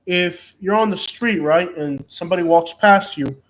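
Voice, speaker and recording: High-voiced Cuban-born man chatting. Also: normal speed, low-quality recording. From Cuba. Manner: rambling, frequent restarts and fillers. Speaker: host. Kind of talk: casual live chat.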